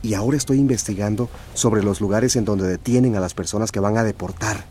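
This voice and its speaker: A man playing an earnest journalist and boyfriend in a radio drama, with a measured manner. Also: on the low side, mellow, slight background noise, natural voice.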